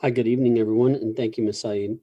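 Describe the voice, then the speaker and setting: A man giving a formal government presentation as a public official, in measured, bureaucratic and slightly hesitant phrasing. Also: medium pitch, fairly even intonation, warm tone, breathy edge, no room sound, dull audio.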